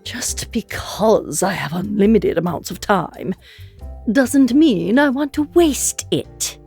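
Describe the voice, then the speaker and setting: A woman's voice: medium pitch, lively intonation, takes it slowly, gravelly, a little mellow, husky, soft background music, exaggerated voice. A woman playing an ambitious, impatient immortal in a comedic audio drama, with a haughty, exasperated, articulate manner.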